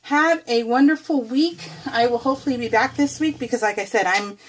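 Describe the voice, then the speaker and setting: A woman's voice: fairly high pitch, brisk, a little hiss or hum, rough recording. A middle-aged woman hosting a craft vlog, chatting casually and rambling as she works, often correcting herself and giggling. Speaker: craft video host.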